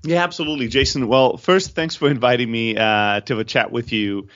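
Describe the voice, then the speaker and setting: A startup founder in his thirties, originally from Greece and raised in Germany, talks through his story with restless energy and keeps restarting his phrases. His voice sits at an ordinary middle pitch. The audio is a little dull and lo-fi. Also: a little fast. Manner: energetic, frequent restarts and repeated words. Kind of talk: candid interview storytelling.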